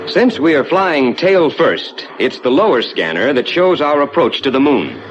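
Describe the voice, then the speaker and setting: An actor playing a calm, reassuring rocket ship captain who explains the flight to passengers in measured, courteous 1950s ride narration. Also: male voice, medium pitch, muffled recording. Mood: composed, instructive. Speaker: spaceship captain and tour narrator. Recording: noisy vintage 1950s ride soundtrack.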